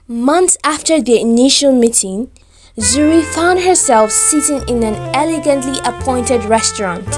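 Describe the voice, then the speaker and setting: High-voiced woman narrating a romantic story. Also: darkish tone.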